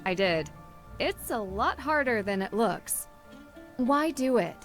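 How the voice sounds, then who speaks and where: A young female sleuth in an adventure game. Her voice sits fairly high, and she speaks in a polite, friendly, curious manner. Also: expressive, takes it slowly, faint music behind.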